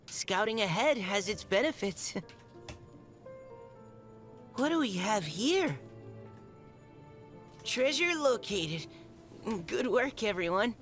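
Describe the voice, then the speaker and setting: An eager young boy scout from a game. The delivery is slow and animated, earnest and encouraging, with music behind it. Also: medium pitch.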